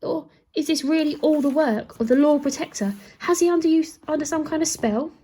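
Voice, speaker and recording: A woman voicing a distraught queen who pleads in a high, loud voice, read aloud from a storybook. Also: a little fast, slight background noise, natural voice. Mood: desperate.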